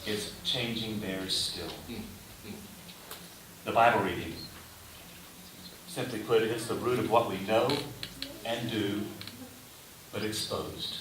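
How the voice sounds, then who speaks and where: Guy preaching a sermon, short careful phrases w pauses, mic is far off, faint hiss behind him. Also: on the low side. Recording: roomy echo, distant mic, faint hiss.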